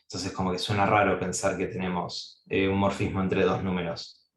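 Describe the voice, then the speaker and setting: A man gives a university-style lecture, working through ideas aloud and pausing on fillers as he goes. His voice sits a little low. The recording carries a noticeable room echo. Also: monotone. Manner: thinking aloud, frequent "eh" fillers and restarts. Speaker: mathematics lecturer. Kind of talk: academic lecture at a whiteboard.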